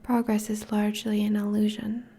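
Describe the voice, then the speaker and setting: A woman playing a teasing, affectionate vampire girlfriend in an intimate ASMR roleplay, speaking softly in a breathy, whispery voice. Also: medium pitch, completely flat delivery, moderate pace. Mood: tender, reassuring. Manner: soft, teasing, languid.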